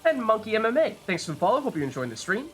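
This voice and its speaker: Guy streaming a game, higher bright voice, musing out loud, music behind him. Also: sing-song, racing, a little hiss or hum. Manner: chatty, thinking aloud, musing. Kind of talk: casual live let's play commentary.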